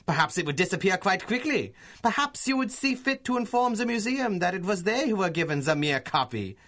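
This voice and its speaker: An actor playing a gloating Nazi professor with a German accent, pompous and mock-courteous in a radio drama style. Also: male voice, high voice, big pitch swings, brisk, a bit bright, full character voice. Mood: gloating menace.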